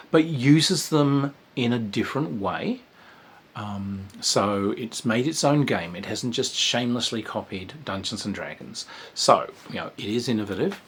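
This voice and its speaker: A man's voice: on the low side, breathy edge. A man, a game designer giving a casual spoken review of a tabletop game, rambling with frequent ums and restarts.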